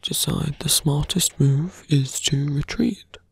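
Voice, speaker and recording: Man acting out a gravelly, seductive fantasy villain. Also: fairly high pitch, full character voice. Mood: smug, taunting. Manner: hushed, deliberate, condescending, often dropping to a whisper. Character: suave seductive villain mage, male, calmly menacing and mocking. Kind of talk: ASMR roleplay.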